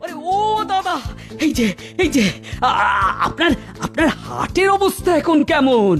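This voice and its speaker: A woman's voice: high voice, gravelly, faint music behind, full character voice. A woman voicing a sly, coaxing lottery ticket seller in an exaggerated comedy cartoon. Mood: flustered, excited. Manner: coaxing, drawn-out, stammering when excited.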